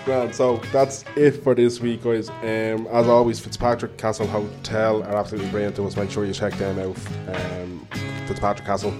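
A man's voice: fairly low pitch, level tone, normal speed, few pauses, music in the background. A man with a cold and a stuffy, nasal voice, hosting a casual podcast chat. He talks chattily, with restarts and frequent laughs. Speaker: podcast host.

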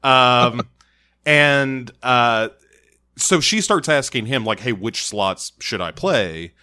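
A man's voice: mid-range voice, expressive, slow pace, pushed and bright, clear, resonant tone. A man co-hosting a podcast, recapping an episode in casual chat with eager, emphatic talk and stammering restarts. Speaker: podcast co-host.

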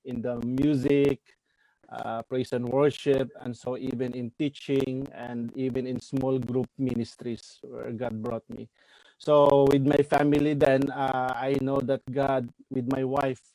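A man in his forties, a church minister speaking in an earnest, halting way with repeated words in a casual chat. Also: medium pitch, dark tone, poor audio. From Philippines. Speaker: church minister abroad, guest. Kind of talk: casual video-call chat.

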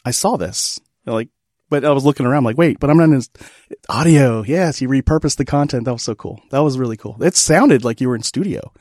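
Male podcast host, playful and self-mocking, mid-pitched voice, dry close-miked recording. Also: fast talker, darkish tone, no room sound. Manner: joking, self-deprecating, laughs often. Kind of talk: casual podcast interview.

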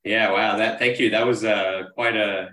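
A man's voice: on the low side, steady tone, moderate pace, bright, forceful tone. A man from Pakistan, a business coach, telling his life story as a talkative, earnest podcast guest. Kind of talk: casual interview storytelling. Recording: roomy echo, distant mic.

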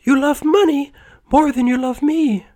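Storybook sweetheart: young woman, regretful, sung out in a very high falsetto, voiced by a dad. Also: fairly even intonation, measured pace, dry recording. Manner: high falsetto, soft and sorry.

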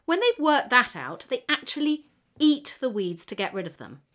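A woman's voice: high-pitched, big pitch swings, normal speed, a bit bright. A woman playing a friendly, proud T cell gardener who explains things patiently in a children's educational audio story. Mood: keen explaining. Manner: explanatory, teacherly, encouraging.

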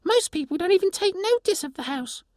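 A man voicing a tiny, excitable, hospitable male pixie with a high squeaky voice and an eager manner. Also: fairly quick, no room sound, clean studio sound.

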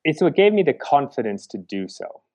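A man from the Chicago area, a conservation founder answering interview questions in a halting way, with many restarts. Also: on the high side, big pitch swings, a little mellow, no room sound. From Chicago, United States.

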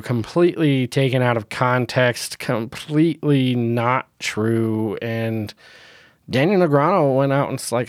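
A man's voice: medium pitch, dry recording, studio quality. A man hosting a casual solo podcast, sounding nasal from a cold, blunt and opinionated and laughing often. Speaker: podcast host.

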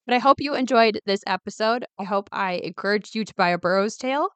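Raspy-voiced woman chatting on her podcast. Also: mid-range voice, fast talker, a bit bright, no echo. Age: 20s. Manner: chatty, upbeat, many ums and asides. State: raspy, recovering voice. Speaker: podcast host. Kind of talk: casual solo podcast chat.

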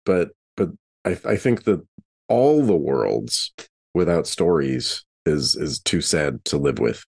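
A man playing a tabletop role-playing game, talking casually in character and haltingly, repeating words as he thinks aloud. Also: extremely low pitch, sing-song, creaky, darkish tone, husky.

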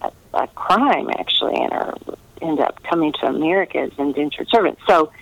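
A woman's voice: deep voice, expressive, a little fast, gravelly, no room sound, dull audio. A woman novelist chatting casually in an interview, talking in a meandering way with trailing asides.

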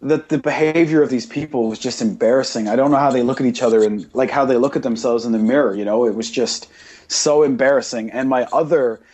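A man's voice: medium pitch, fast talker, a little mellow, breathy edge. A man hosting a podcast, talking casually in a blunt, emphatic rant that piles up repeated points. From Britain. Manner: emphatic, repetitive listing, blunt. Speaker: podcast host.

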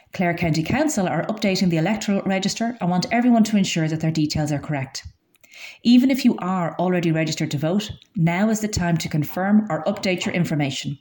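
A woman's voice: lowish voice, darkish tone. A woman reading scripted local news as a community radio newsreader.

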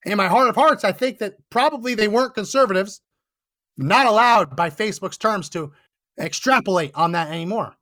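Hoarse, high-voiced indignant male host. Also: a little fast, bright, forceful tone. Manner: emphatic, indignant. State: hoarse, losing his voice. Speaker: podcast host. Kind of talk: political commentary monologue. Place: Texas.

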